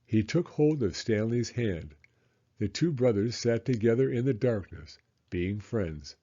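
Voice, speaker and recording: Guy reading a kids book, slow and lively pitch, mellow voice, slightly muffled. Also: husky. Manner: deliberate, acts out character voices. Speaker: children's audiobook reader. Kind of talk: expressive read-aloud storybook narration.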